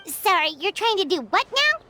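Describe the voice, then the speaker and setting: A woman voicing a tiny, childlike, cheeky fairy companion in a video game, squeaky and exclamatory. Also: big pitch swings, normal speed, pushed and bright, slight background noise, exaggerated voice. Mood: incredulous. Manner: exclamatory, teasing, slangy.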